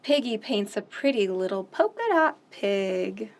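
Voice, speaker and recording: A woman hosting a children's storytime, reading picture books aloud gently and asking the kids questions. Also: medium pitch, animated. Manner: gentle, slow, sing-song, asks children questions.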